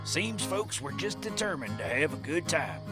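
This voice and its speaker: A man's voice: a little high, sing-song, normal speed. A man narrating a Western tale in a folksy, dramatic storyteller manner over guitar music.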